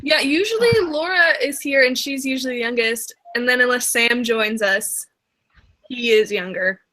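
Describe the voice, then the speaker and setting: A young woman in her early twenties hosting a casual video call, chatty and quick to laugh. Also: fairly high pitch, pushed and bright, low-quality recording. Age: young adult. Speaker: livestream host. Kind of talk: casual group video chat. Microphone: computer mic on a video call.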